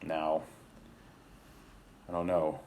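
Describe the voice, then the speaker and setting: A man's voice: rumbling low, monotone, extremely slow, gravelly, mellow. A man hosting a children's picture book read-aloud, speaking with exaggerated, theatrical politeness and chatty asides. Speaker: library storyteller and host.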